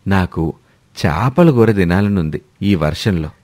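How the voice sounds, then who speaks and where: A man's voice: low voice, expressive, unhurried, darkish tone, pitched below the performer's own voice. Man doing an old father-in-law, easygoing, hearty, fond of food. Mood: relaxed.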